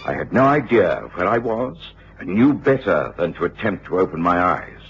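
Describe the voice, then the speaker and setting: Grave, measured gentleman narrator for radio drama, animated deep delivery, music behind. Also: creaky, muffled recording, exaggerated voice.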